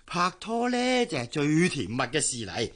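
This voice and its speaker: A man's voice: a little high, big pitch swings, moderate pace, a bit bright. An actor playing a kindly, fussy older male servant in a Hong Kong Cantonese radio drama, chatty and coaxing. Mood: surprised, coaxing.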